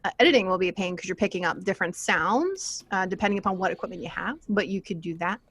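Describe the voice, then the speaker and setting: A woman's voice: mid-range voice, animated, quick pace, fairly bright tone, slightly rough. A young woman chatting casually and informally on a panel, giving advice as a podcaster, often giggly.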